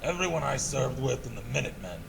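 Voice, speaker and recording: Gravelly, guarded male wasteland survivor played by an actor. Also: mid-range voice, expressive, fast, background noise. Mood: grim, guarded. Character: weary, guarded male ex-Minuteman survivor and protector. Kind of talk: video game character dialogue.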